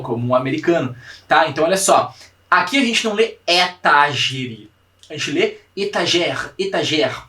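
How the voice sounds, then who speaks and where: A young Brazilian man teaching a language class online, repeating words slowly to drill pronunciation and checking in with his students often. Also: fairly high pitch, a bit bright, far from the mic. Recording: echoey room, voice from off the mic.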